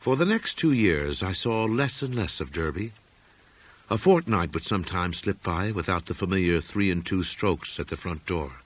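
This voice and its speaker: A man narrating a literary horror story in a measured, formal storytelling manner. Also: fairly low pitch, fairly bright tone, breathy edge, no room sound. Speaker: audiobook narrator.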